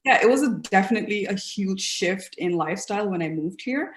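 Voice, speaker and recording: A woman's voice: mid-range voice, animated, a little fast, a little hiss or hum. Upbeat woman in her 30s from the uae, guest on an interview, lots of likes and ums, echoey room. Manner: chatty and upbeat, often trailing off with fillers. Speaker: interview guest, fashion blogger. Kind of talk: casual interview.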